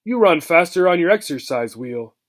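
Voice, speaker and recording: Man playing a gruff, bossy old mouse. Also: a little high, expressive, normal speed, close to an everyday voice. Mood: impatient. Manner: gruff, curt, stern. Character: bossy, scornful, impatient old male mouse.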